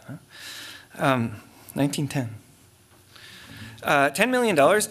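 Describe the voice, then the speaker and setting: Middle-aged male academic, slightly high voice, measured lecture read at a lectern mic, stumbles and self-corrects. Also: a bit bright. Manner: measured, reads aloud with frequent restarts and asides. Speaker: keynote lecturer. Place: large lecture hall stage with curtains. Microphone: lectern microphone.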